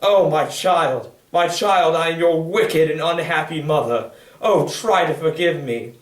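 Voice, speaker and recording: A man's voice: on the high side, measured pace, voice pushed up, close to an everyday voice. A man voicing a proud, anguished noblewoman in a formal, dramatic, pleading manner for a literary reading. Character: proud, anguished noblewoman confessing to her secret daughter. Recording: echoey room, distant microphone.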